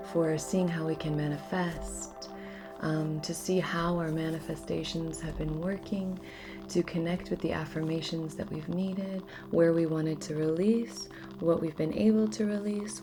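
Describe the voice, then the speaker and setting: Soft-spoken woman, slow and low, over music. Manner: soft-spoken, often slipping into near-whisper. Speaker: host of a spiritual ritual channel. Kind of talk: gentle guided new moon ritual, casual chat. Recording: music clearly under the voice.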